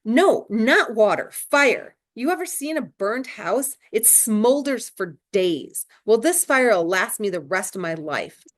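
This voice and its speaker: A woman's voice: fairly high pitch, expressive, measured pace, few pauses, voice pushed up. A woman reading a feverish, troubled fireman with a pleading, agitated delivery. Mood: anguished.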